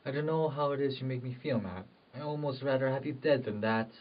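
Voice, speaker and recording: Guy playing a lovesick country husband, fervent, halting, sounds muffled and away from the mic. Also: medium pitch, a little hiss or hum, lightly acted. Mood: tender coaxing. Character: lovelorn rural husband, anguished and impulsive. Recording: dull, distant microphone.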